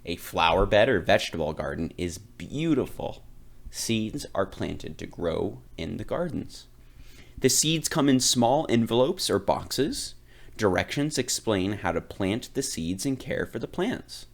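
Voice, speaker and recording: Animated low-voiced man reading aloud. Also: a little slow, gravelly. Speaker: read-aloud reader. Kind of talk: children's picture book read-aloud.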